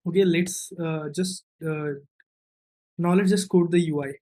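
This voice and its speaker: A man's voice: on the high side, steady tone, unhurried, warm tone. A man giving a casual coding walkthrough as an instructor, thinking aloud and often checking in with a short questioning tag. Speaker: programming tutorial instructor.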